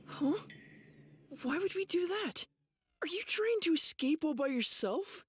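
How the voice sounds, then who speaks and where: Anime game kid: skeptical small boy, high and unhurried, puzzled. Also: animated. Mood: doubting. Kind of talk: dubbed anime game acting.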